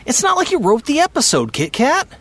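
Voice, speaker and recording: A woman's voice: high-pitched, huge pitch swings, moderate pace, fairly bright tone, full character voice. A woman voicing an easygoing parent in an audio drama, teasing in a wry way.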